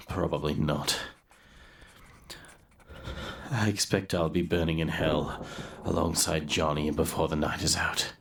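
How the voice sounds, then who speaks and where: A man playing a haunted, guilt-ridden young grave robber who narrates his ruin in a brooding, deliberate way for an audio drama, with noise behind him. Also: a bass voice, fairly even intonation, a bit bright, full character voice. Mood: despairing, resigned. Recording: clear background noise under the voice.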